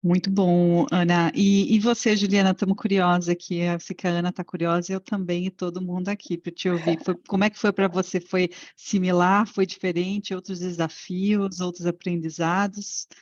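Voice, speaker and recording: A woman's voice: on the low side, level tone, moderate pace, hardly any pauses, darkish tone, no echo, highs cut off. A woman moderating an online panel talk, prompting the guests with engaged questions.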